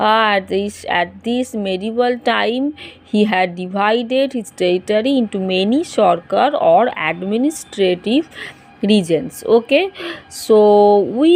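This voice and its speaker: A woman teacher with a Bengali accent giving a lesson, pausing often with fillers and switching to Bengali to explain. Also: medium pitch. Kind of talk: classroom lecture.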